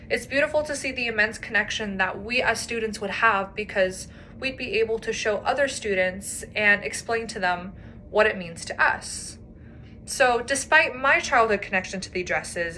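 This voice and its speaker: A young woman delivers a prepared presentation to her class, earnest and explanatory in tone. Her voice is bright and forward, at an ordinary pitch for a woman. A faint background hum sits under the recording. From United States. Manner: earnest, explanatory, with light laughs. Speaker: student presenter.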